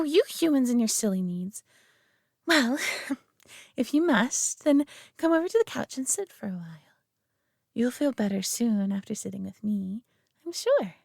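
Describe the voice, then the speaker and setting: A woman's voice: on the high side, no room sound, clean studio sound, exaggerated voice. A woman voicing a prideful, doting alien who owns a human pet, teasing and condescending yet affectionate in an intimate audio roleplay. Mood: indulgent, soothing. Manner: teasing, condescending, affectionate, often hushed and breathy.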